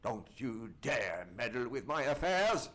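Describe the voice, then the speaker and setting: Blustering, menacing radio villain, sharp and forceful. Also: male voice, medium pitch, very animated, measured pace. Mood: threatening. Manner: theatrical, threatening, emphatic. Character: menacing, blustering middle-aged doctor, a bullying villain. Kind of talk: old-time radio play acting. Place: live on a theatre stage.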